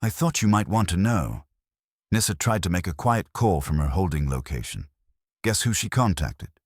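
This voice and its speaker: A man's voice: low voice, animated, normal speed, breathy edge, no room sound. A man voicing a dry investigator giving a report in a storybook reading. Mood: dry, teasing.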